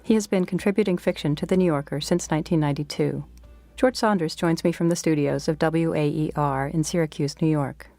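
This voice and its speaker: A woman with a lowish voice and noticeable creak. She speaks as the host of a literary podcast, moving between scripted introduction and interview, with some music in the recording. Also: fairly even intonation, a little fast, mellow, dry recording. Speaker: podcast host and fiction editor.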